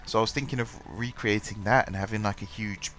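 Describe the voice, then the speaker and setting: A man's voice: fairly low pitch, fairly even intonation, brisk, a bit bright. A man with a cold and a stuffy, bunged-up voice, rambling through casual commentary on his game with lots of ums and restarts. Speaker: gaming channel host. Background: faint background music.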